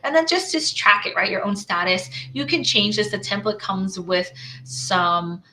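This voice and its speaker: A woman's voice: medium pitch, moderate pace, sharp tone, slight background noise, poor audio. A woman running her own small business, walking through her slides in a casual screen-share, thinking aloud with lots of "right?" tags and restarts.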